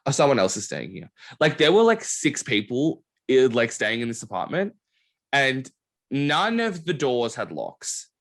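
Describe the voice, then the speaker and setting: A man's voice: mid-range voice, unhurried, vocal fry. A young man hosting a podcast, chatting casually and telling stories full of "like" fillers. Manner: animated storytelling, many "like" fillers. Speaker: podcast host. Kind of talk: casual chat.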